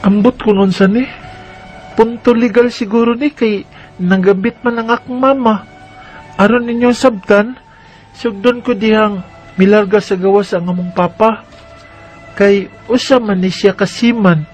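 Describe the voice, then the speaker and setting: Man doing a worried young boy, high voice, earnest, radio drama narrator. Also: fairly even intonation, measured pace, pauses often, faint music behind. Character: eleven-year-old boy, worried and observant. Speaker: narrator and main character.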